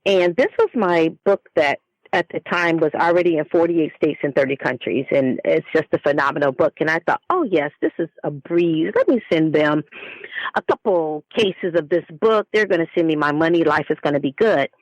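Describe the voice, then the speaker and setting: A woman being interviewed as an author, telling her stories in an expressive, conversational way. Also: low voice, normal speed, no echo, highs cut off. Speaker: guest, children's book author.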